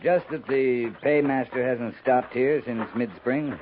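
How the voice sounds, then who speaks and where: A man's voice: medium pitch, unhurried, lightly acted. Laconic cavalry captain, old tinny radio man acting. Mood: dry, respectful. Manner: clipped, dry, understated. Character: laconic, plain-spoken male cavalry captain. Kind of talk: 1950s radio western acting. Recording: narrow, faintly noisy 1950s radio recording.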